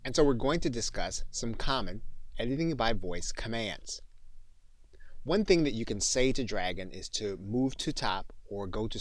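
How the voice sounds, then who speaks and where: A man with a fairly low voice presents a scripted tutorial as an instructor. He explains each point in a methodical way. The sound is very dry, with no room echo. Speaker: course instructor.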